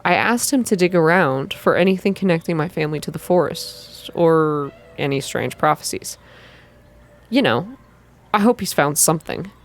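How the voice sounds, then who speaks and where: A woman reading a story aloud in an ASMR style, with expressive, deliberate first-person narration. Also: sits low. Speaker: reader of a visual novel. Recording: soft music behind the voice. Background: background music.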